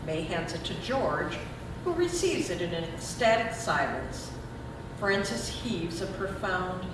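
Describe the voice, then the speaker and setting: A woman's voice: big pitch swings, a little slow. A woman reading stage directions as the scripted narrator of a readers' theater play. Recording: echoey room, distant mic, rumbling noise.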